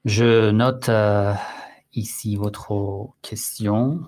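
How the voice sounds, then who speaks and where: A man hosting a live online Q&A on religion, explaining slowly and deliberately, with frequent hesitant fillers. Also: fairly low pitch, steady tone, darkish tone. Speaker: Islamic Q&A host. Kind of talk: live online Q&A answering listeners.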